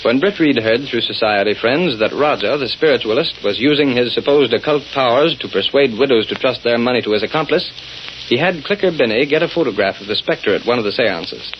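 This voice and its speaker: A man's voice: medium pitch, expressive, a little fast, lightly acted. A man acting as the narrator of a vintage radio crime serial, telling the story in a scripted announcer style. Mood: dramatic, measured. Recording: muffled, noisy old radio recording.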